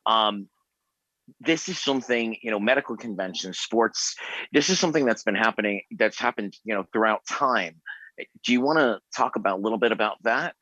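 Low-voiced, halting male host. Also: bright, forceful tone, breathy edge, dry recording, dull audio. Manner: halting, many fillers. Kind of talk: interview questions.

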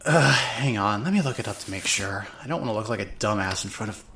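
Woman acting a tipsy, swearing young woman, very animated. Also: a bass voice, quick pace, slight background noise, heavily acted. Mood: tipsy, rambling. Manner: rambling, drunken hesitations, sarcastic swearing. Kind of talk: first-person dramatic narration of a fan audio drama.

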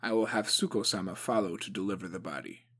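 A man voicing a calm, dutiful young male clan leader who speaks in a measured, grave way. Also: low-pitched, slightly rough, exaggerated voice. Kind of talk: audiobook character voice.

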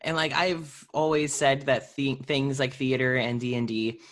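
A woman's voice: rumbling low, little pitch movement, normal speed. A woman chatting informally in an interview, slipping into imagined dialogue as she talks. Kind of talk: casual conversational interview.

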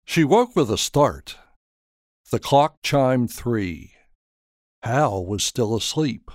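A man narrating a scripted story in a measured, dramatic storytelling manner. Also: mid-range voice, expressive, dry recording, clean studio sound. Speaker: author and narrator.

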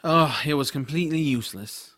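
A man playing a curious, adventurous young boy who narrates his own story in an expressive, earnest audiobook style. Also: a little high, a little fast, slightly rough, no echo, studio quality. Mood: suspenseful. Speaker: narrator and lead character.

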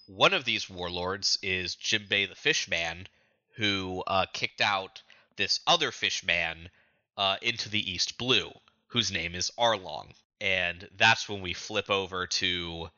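A man co-hosting a podcast, recapping a story in casual, informal chat with an animated way of telling it. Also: low-pitched, no room sound, lo-fi sound. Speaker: podcast co-host.

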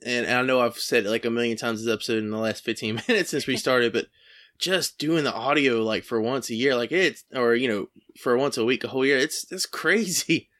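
A man's voice: medium pitch, fairly quick, fairly bright tone. A man hosting a podcast interview, rambling and hesitant, with lots of fillers. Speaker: podcast host. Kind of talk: casual interview chat.

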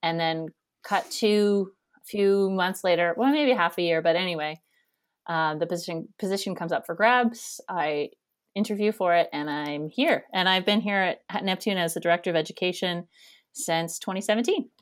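A Canadian woman in her thirties with a loud, nasal voice, chatting as an interview guest and rambling with frequent laughs. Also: lowish voice, moderate pace. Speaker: guest, theater education director. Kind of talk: casual interview conversation.

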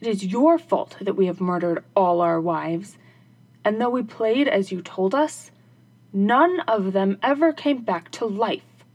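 A woman voicing a band of robbers in a fairy tale, speaking loudly and bluntly. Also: mid-range voice, measured pace, natural voice, low-quality recording. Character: band of gruff robbers, grown men.

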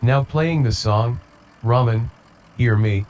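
An actor playing a begrudging car voice assistant, giving flat, robotic announcements and directions in an audio drama. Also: medium pitch, takes it slowly, lightly acted. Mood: flat, dutiful. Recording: dull, lo-fi, car engine noise behind.

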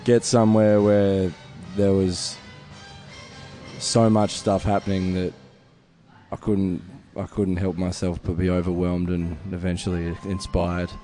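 Male interview guest, Australian, low mellow voice, casual and reflective, thinking as he talks. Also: level tone, measured pace, faint music behind. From Adelaide, Australia. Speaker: interview guest, touring musician.

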